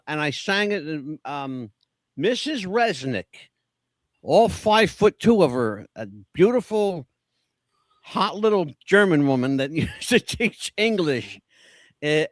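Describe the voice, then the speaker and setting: A man's voice: on the high side, no echo. A man co-hosting a podcast, telling chatty, self-deprecating stories about songs from his past. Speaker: podcast co-host. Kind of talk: casual chat about favorite songs.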